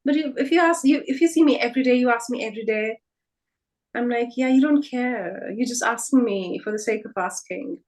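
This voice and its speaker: A woman's voice: fairly high pitch, brisk, darkish tone, rough recording. A woman whose first language is Hindi, presenting a live online workshop over a video call and carefully repeating each name as she explains it.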